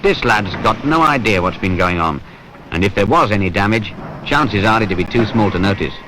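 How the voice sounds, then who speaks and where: A man acts as a wry British narrator with a fairly low voice. He grumbles conversationally like a tired working man who has seen it all. The old film soundtrack carries clear background noise. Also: animated, normal speed, lightly acted. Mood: wry, exasperated. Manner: colloquial, sardonic, grumbling. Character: wry, world-weary working man narrating factory troubles. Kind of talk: conversational scripted narration in a 1970s training film. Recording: noisy 1970s film soundtrack.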